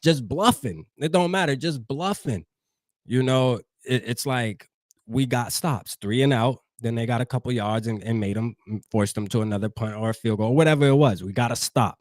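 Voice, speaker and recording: A man from New Jersey riffs through a casual livestream, swearing freely and calling out viewers in the chat. His voice sits at an ordinary pitch, and the sound is dry and close, with no room around it. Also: moderate pace, no echo. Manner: loose, profane, talks straight to chat. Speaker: fan show host. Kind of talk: casual livestream sports talk.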